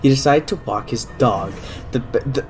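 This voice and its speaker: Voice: mid-range voice, normal speed. A person giving a dramatic reading of fan fiction, stumbling and prone to breaking into laughter. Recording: music under the reading. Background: music.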